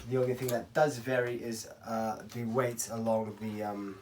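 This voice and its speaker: A man from Spain with a medium pitch talks casually through a hands-on tutorial. He rambles a little and tends to clear his throat. Clattering, clicking work noise runs clearly behind him. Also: flat delivery, normal speed, a little mellow. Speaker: racquet stringer giving a tutorial. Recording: clattering workshop tool noise.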